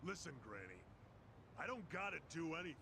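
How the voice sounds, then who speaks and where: A man's voice: a little high, very animated, fairly quick, noisy background. An actor voicing a cocky, vicious gangster in a video game, sneering and swearing coarsely as he taunts. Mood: mocking. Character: cocky, vicious young yakuza thug, openly rebellious. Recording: game dialogue under loud music.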